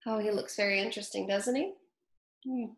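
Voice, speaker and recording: A woman reading a children's story aloud with chatty asides to young listeners, in an animated way. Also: mid-range voice, fairly quick. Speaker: storybook reader. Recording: roomy echo.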